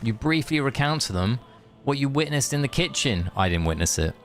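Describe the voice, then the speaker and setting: A man's voice: medium pitch, big pitch swings, fairly bright tone, faint music behind. Casual, joking man streaming a game. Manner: joking, sweary, halting. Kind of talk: casual live-stream commentary, reading game text aloud.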